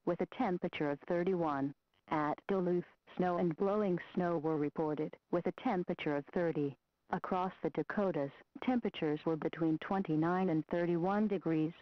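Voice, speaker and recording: A female weather radio voice listing current conditions in a flat, even way. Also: fairly low pitch, no room sound. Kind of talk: automated weather observations readout. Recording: dry, lo-fi radio recording.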